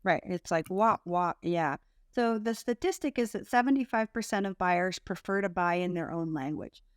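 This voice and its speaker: A woman's voice: on the low side, normal speed, smooth, no echo. Woman guest in a dry, close recording. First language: English. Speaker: podcast guest, content marketing consultant. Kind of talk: conversational expert interview.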